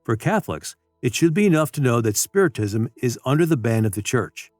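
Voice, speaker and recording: A man's voice: medium pitch, big pitch swings, a little fast, a little mellow, no echo, studio quality, faint music behind. A man reading a religious apologetics audiobook as scripted narration, with a formal, solemn manner. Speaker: audiobook reader.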